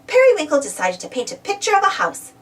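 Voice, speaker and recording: A woman narrating a children's story aloud in an animated read-aloud style. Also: high voice, fast, recorded from a distance. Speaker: storybook reader.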